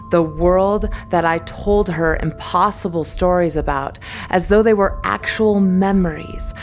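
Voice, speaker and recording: A wistful female narrator who takes her time over each phrase. She sounds measured and emotive, narrating an audio drama over faint music. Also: lowish voice. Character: solemn, wistful woman narrator telling a story.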